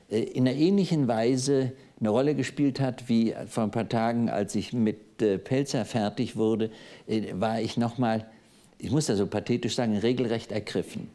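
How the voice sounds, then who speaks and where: A man's voice: mid-range voice, mellow. A man in his sixties from East Germany, hosting a televised literary panel in a studio before an audience, speaking in a thoughtful, digressive way with frequent hesitations. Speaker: host of a literary discussion.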